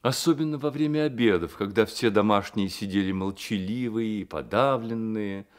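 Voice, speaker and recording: A man narrating a literary radio play with expressive, deliberate storytelling phrasing. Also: lowish voice, clean tone.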